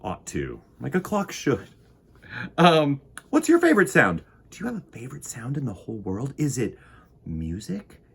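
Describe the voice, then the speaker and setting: A man hosting a children's storytime, reading a picture book aloud in an expressive, theatrical and playful way. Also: mid-range voice, normal speed, vocal fry, slightly rough. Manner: expressive, dramatic, playful with sound words.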